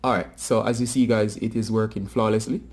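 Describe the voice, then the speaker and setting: A man's voice: fairly low pitch, level tone, brisk, slightly rough, faint music behind. A man presenting a casual hands-on how-to tutorial, talking directly to viewers.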